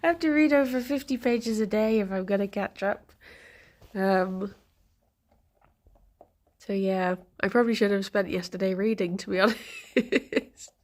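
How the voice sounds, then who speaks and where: Slow-talking stuffy-nosed woman. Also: mid-range voice. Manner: soft, chatty, laughs often, greets chat by name. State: congested, stuffy nose. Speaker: streamer. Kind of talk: casual morning chat with viewers.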